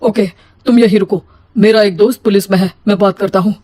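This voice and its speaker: A woman's voice: mid-range voice, fairly even intonation, a little fast, warm tone, natural voice. A woman voicing a caring, responsible elder brother in an emotional cartoon story. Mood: concerned. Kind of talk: melodramatic cartoon story acting.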